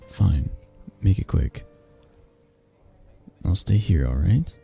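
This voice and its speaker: A man playing a possessive, flirtatious mafia boss in a romantic audio roleplay, husky and gravelly, speaking with intimate condescension and long pauses. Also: low-pitched, expressive, mellow, full character voice. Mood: teasing, flirtatious. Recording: music behind the voice. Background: background music.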